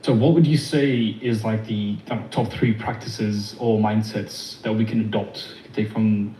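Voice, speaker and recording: A man's voice: lowish voice, fairly even intonation, a little slow, warm tone, roomy sound, distant mic, slight background noise, low-quality recording. A man joining a voice call to ask a question, polite and a little hesitant, with fillers. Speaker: participant asking a question. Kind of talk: casual voice chat.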